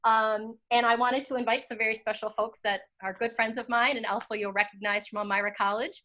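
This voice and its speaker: Higher-voiced American woman reading a story. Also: little pitch movement, a little fast, bright, forceful tone. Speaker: host and narrator, school teacher. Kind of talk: storybook narration and introduction.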